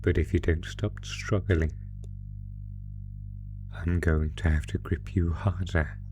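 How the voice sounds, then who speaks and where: A man's voice: low-pitched, fairly even intonation, takes it slowly, music in the background. A man voicing a sadistic, predatory monster from under the bed in a horror audio roleplay, taunting the listener with mock-sweet, savoring menace. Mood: threatening, pleased.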